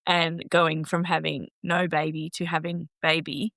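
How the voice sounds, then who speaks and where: A woman in her thirties hosting a casual podcast chat, chatty and quick to laugh. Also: deep voice, level tone, creaky, fairly bright tone, dry recording, studio quality. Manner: chatty, laughing easily, lots of fillers. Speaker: podcast host. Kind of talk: casual conversation.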